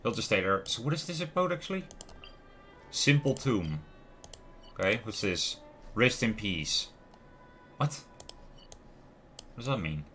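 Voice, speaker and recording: Man, low-pitched, bright tone, casual livestream game commentary, musing and self-questioning, background music. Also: expressive, a little slow, pauses often. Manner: musing aloud, trailing off and questioning himself. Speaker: livestream host playing a video game. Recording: music playing behind the voice. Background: lo-fi music, keyboard typing and clicks.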